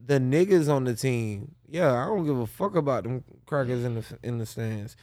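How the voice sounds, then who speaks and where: A man's voice: medium pitch, moderate pace, darkish tone. A man co-hosting a podcast, rambling and profane in casual sports banter. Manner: rambling, stumbling restarts, profane. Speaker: podcast co-host.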